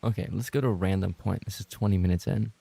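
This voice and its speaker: A man's voice: low voice, quick pace, warm tone, no echo. A man chatting casually on a livestream, reading out viewer messages and reacting with playful mock indignation, swearing and repeating himself when flustered. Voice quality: gravelly, creaky. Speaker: livestream host and roleplay voice actor.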